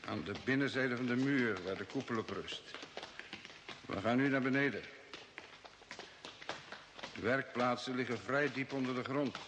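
A man's voice: medium pitch, moderate pace, pauses often, close to an everyday voice. A man acting a formal, authoritative guide to an alien base in a radio drama. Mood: instructive. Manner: formal, instructive. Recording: noisy old radio recording, long reverb.

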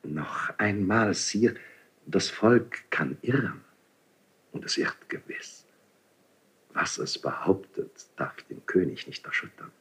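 Scheming court priest, very low breathy voice, slow, solemn, unctuous, insinuating, 1970s radio drama. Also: male voice, animated, a bit bright, exaggerated voice. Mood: sly, insistent. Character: insinuating, unctuous royal priest, feigning reluctance while accusing. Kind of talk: 1970s German radio play, classical verse acting.

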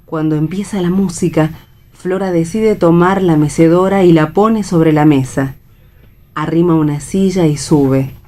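A woman's voice: low voice, a little slow, mellow. A woman with an Argentine accent reading stage directions as scripted narration for radio theatre.

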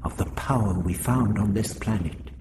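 A man's voice: on the low side, moderate pace, noisy background, lightly acted. A man voicing resolute winged alien leader Vrestin. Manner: urgent, commanding.

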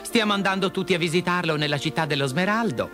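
A man's voice: sits high, quick pace, over music, voice pushed up, close to an everyday voice. A man voicing a brave young storybook girl who is forthright, chiding and kindly. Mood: encouraging, kindly.

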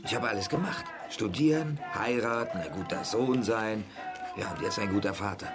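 A male actor plays a middle-aged doctor worn down by life. The voice sits fairly low, bitter and resigned, in radio drama style over clearly audible music. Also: normal speed, natural voice. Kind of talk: naturalistic German radio crime drama acting. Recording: music under the dialogue.

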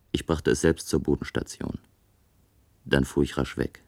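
An actor playing a brooding male inventor in a radio drama, narrating and speaking in a measured, deliberate, detached way. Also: a bass voice, gravelly, a little mellow, slightly rough, dry recording, exaggerated voice. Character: brooding male inventor, coolly destroying his own great tower. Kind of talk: German radio drama, first-person narration and dialogue.

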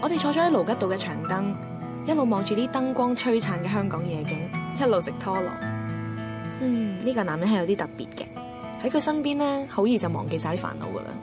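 An actress playing a chatty, self-doubting young single woman, confiding in expressive Hong Kong Cantonese in a radio drama. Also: mid-range voice, fast talker, over loud music, lightly acted. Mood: wistful, confiding. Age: young adult. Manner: confiding, expressive inner monologue. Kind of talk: radio drama narration and dialogue.

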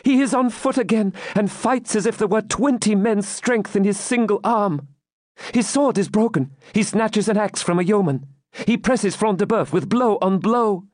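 A man playing a high, breathless, fervent young maiden. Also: normal speed, darkish tone, no room sound, studio quality, close to an everyday voice. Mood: frantic, exultant. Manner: breathless, exclamatory, archaic dramatic speech.

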